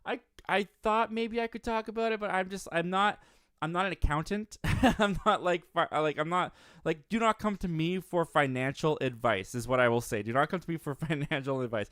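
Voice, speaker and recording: A man's voice: on the high side, moderate pace, fairly bright tone, smooth, no echo, clean studio sound. A young man hosting a live movie stream, reading viewer comments aloud in an upbeat, rambling way with many restarts. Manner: upbeat, rambling, frequent restarts and self-corrections.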